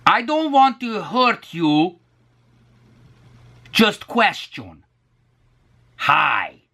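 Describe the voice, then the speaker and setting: A man acts out a blunt Hungarian man speaking broken English. The delivery is slow and clipped, with a Hungarian accent. The pitch is raised and swings insistently from phrase to phrase. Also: wildly swooping pitch, creaky, sharp tone, heavily acted.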